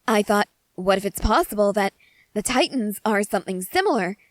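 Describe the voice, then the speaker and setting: A woman playing an eager, excitable scientist soldier fascinated by creatures, speaking earnestly and emphatically to the listener in an ASMR roleplay. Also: medium pitch, moderate pace, dry recording, lightly acted. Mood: awed excitement.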